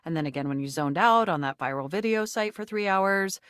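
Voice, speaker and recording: A woman's voice: fairly low pitch, animated, fairly quick, a bit bright, smooth, no room sound, clean studio sound. A woman playing a perky, vain, flirtatious home computer come to life in a video game, with a chirpy, gushing, theatrical delivery. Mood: delighted. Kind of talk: video game character voice acting.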